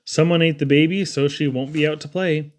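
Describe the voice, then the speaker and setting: A man's voice: fairly high pitch, fairly even intonation, a little fast. A man reading humorous children's poems aloud in a theatrical, playful storytelling manner. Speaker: poetry reader.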